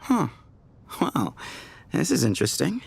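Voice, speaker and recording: A young desert heir in a video game, friendly and streetwise. His mid-pitched voice moves playfully up and down with a gravelly creak, persuasive throughout, over clear background music. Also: wildly swooping pitch, a little slow, heavily acted. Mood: breezy, curious.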